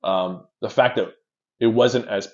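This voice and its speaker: A man from Canada, a guest in a casual interview, telling stories in an animated way and restarting his words often. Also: lowish voice, measured pace. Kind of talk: casual chat, storytelling. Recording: dull lo-fi sound.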